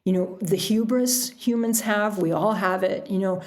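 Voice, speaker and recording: A woman's voice: medium pitch, fairly even intonation, normal speed, a little mellow. A woman talking casually and reflectively as a guest in an interview. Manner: reflective, chuckles often while talking. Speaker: podcast guest, author and sailor.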